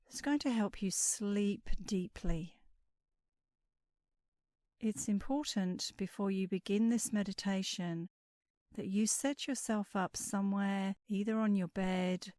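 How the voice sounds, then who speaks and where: Slow, mellow woman guiding sleep meditation. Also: medium pitch, dry recording, clean studio sound. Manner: soothing, unhurried, with breathy phrase endings. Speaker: guided meditation instructor. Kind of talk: guided sleep meditation narration.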